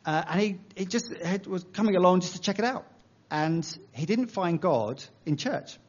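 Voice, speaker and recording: English man preaching, somewhat high voice. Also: lively intonation, moderate pace, muffled recording. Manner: conversational, self-deprecating, uses analogies. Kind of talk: sermon.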